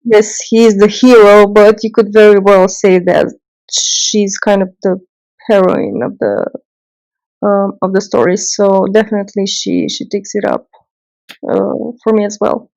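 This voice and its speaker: A woman's voice: medium pitch, fairly even intonation, unhurried, creaky, a little mellow, no room sound, rough recording. Woman, podcast guest, casual, hesitant fillers, dry close sound. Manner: hesitant, fillers like "um" and "you know".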